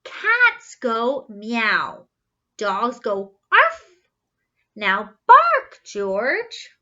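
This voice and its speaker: An exasperated mother dog from a children's storybook, as a woman reader puts her on. She corrects and commands slowly and emphatically, in a bright voice that leaps very high. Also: wildly swooping pitch, dull audio, full character voice. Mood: firm, instructive. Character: George's mother, exasperated, coaxing female dog. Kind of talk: children's storybook read-aloud.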